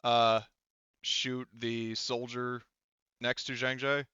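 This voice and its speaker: A man's voice: mid-range voice, level tone, takes it slowly, bright, forceful tone, clear, resonant tone, no echo, highs cut off. A man running a tabletop game as game master, talking casually and hesitantly as he thinks aloud.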